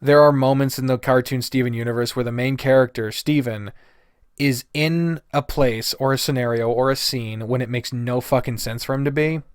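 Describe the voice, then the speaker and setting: A man's voice: medium pitch, steady tone, moderate pace, smooth, dry recording, clean studio sound. A man delivering a casual, blunt, exasperated rant full of swearing, as a reviewer giving his opinions. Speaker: reviewer of visual novels.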